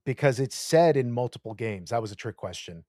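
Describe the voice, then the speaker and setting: A man's voice: medium pitch, expressive, brisk, a little mellow, no echo, studio quality. A man co-hosting a casual podcast, running a quiz and giving hesitant clues full of ums and uhs. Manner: playful, gives hesitant clues full of fillers. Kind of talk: casual chat.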